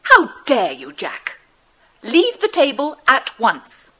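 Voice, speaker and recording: A woman's voice: high-pitched, huge pitch swings, slow, bright, forceful tone, breathy edge, full character voice. An actress playing a strict, indignant children's minder in an audio drama, scolding as she speaks. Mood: stern, indignant. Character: stern, indignant woman looking after children.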